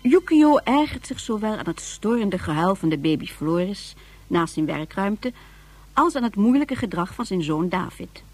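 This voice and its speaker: A woman narrating a radio drama from a script in a deliberate, dramatic storytelling manner. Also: mid-range voice, sing-song. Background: music.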